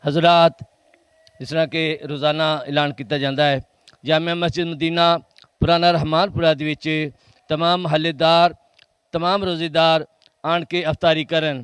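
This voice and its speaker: A man's voice: fairly high pitch, level tone, unhurried, a bit bright, clean tone, no room sound. A man with a Pakistani Punjabi accent, a mosque muezzin, speaking simply and formally on location. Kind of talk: interview answers and formal announcement.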